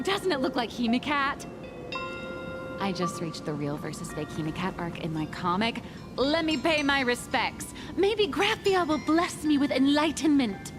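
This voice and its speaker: A woman voicing a whimsical, dramatic young comic artist in a video game, airy and theatrical. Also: sits high. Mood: delighted. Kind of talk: game story voice acting.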